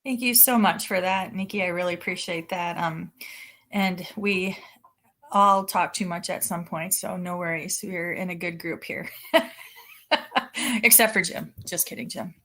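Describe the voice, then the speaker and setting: A woman's voice: on the low side, steady tone, unhurried, a bit bright. A woman chairing an informal board meeting, friendly and lightly joking as she hands the floor to others. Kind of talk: running an informal board orientation.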